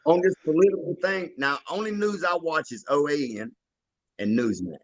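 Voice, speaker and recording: A man talks bluntly and emphatically, full of slang. His voice sits a little above a typical man's pitch. The recording is dry and close-miked. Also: lively intonation, moderate pace, no echo, lo-fi sound. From North Carolina, US. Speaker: guest, tribe member. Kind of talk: casual chat.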